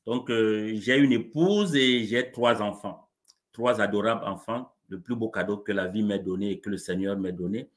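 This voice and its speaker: A man, a coach being interviewed live over a video call, speaking in a warm, measured way with frequent restarts. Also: fairly low pitch. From Cameroon. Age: 40s. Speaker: coach and guest.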